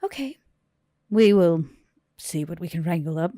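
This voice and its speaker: A woman playing a stern, businesslike female vampire club boss in a measured, deadpan tabletop game performance. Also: fairly low pitch, dry recording, studio quality. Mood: cool, probing.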